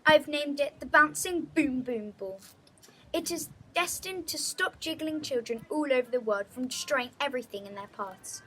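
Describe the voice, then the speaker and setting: A woman's voice: high-pitched, expressive, moderate pace, a bit bright, slight background noise, rough recording. A woman voicing a proud, eager lady science teacher in a storybook, deferential as she explains her invention.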